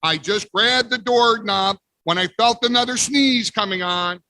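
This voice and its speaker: A man reading a picture book aloud as the wolf, playful with big pauses. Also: sits high, bright, forceful tone, no room sound. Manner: playful, exaggerated sneezes and storyteller pauses. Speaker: guest reader. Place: library event.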